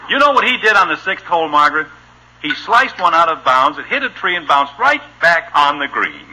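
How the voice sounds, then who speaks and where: An actor playing an exasperated middle-aged American father in a 1950s radio sitcom, gruff, impatient and dryly sardonic, on an old broadcast recording. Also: fairly high pitch, big pitch swings, a little fast, bright, forceful tone, heavily acted, low-quality recording. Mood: irritated, grumbling. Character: exasperated, no-nonsense family father at the dinner table.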